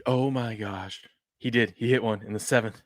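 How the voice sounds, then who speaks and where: Low-voiced hype-prone thirties host. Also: male voice, normal speed, no echo, studio quality. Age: 30s. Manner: animated, jumps between mumbling and loud hype. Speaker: sports betting show host. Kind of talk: casual live-stream chat.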